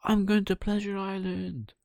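A man voicing a naive, excitable wooden puppet boy who stammers as he explains, for a children's storybook. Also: sits high, a little slow, gravelly, dry recording, studio quality, voice pushed up. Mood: eager. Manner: hesitant, stammering when explaining.

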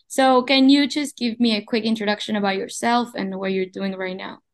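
A woman's voice: medium pitch, steady tone, normal speed. Upbeat woman hosting a podcast. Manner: upbeat, eager interviewer. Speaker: podcast host.

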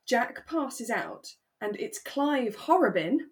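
A woman's voice: a little high, sing-song, takes it slowly. A woman co-hosting a podcast, chatting casually and reflectively about a radio drama. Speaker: podcast co-host.

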